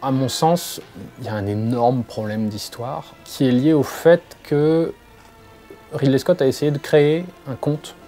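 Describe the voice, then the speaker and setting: Hesitant Frenchman with a medium-pitched voice. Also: measured pace, dark tone, faint music behind. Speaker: comic book author, interviewee. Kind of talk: spontaneous interview talk.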